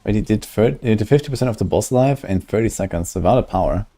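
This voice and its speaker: Low-voiced man musing over a game. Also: lively intonation, fast talker, mellow. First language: German. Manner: musing, calculating aloud. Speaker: streamer. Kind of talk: casual gameplay commentary.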